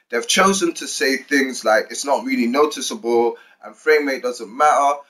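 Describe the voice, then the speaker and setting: Man, gaming channel host, slangy hip-hop phrasing, mid-pitched voice, YouTube commentary. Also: fairly bright tone, poor audio. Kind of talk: video commentary to viewers, part hype greeting, part explainer.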